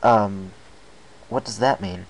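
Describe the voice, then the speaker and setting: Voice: low-pitched, slow, a little hiss or hum, poor audio. A performer voicing an earnest young male pegasus pony in a storybook reading, anxious and a little hesitant. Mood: puzzled.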